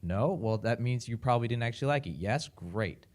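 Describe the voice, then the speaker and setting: A man's voice: medium pitch, animated, brisk, a little mellow. A man on a startup panel giving direct, coaching advice.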